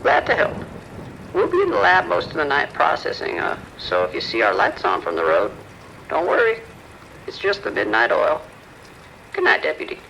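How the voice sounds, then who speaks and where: Research doctor played by a man: polished and formal, bright, higher-pitched delivery, precise, soothing explanations, audio drama. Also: huge pitch swings, normal speed, vocal fry, long pauses, slight background noise, full character voice, low-quality recording. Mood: formal, reassuring. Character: polished, measured male research scientist, smoothly reassuring.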